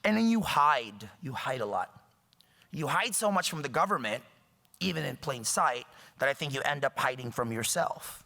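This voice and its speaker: Man, 30s, from the Philippines, wry joking talk, bright ringing voice, echoing lecture hall. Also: medium pitch, lively intonation, normal speed, vocal fry. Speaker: guest speaker. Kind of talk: public talk.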